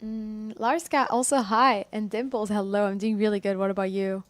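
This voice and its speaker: A woman's voice: medium pitch, flat delivery, moderate pace, no room sound. A woman game streamer chatting casually and commenting live on her play. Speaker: game livestreamer.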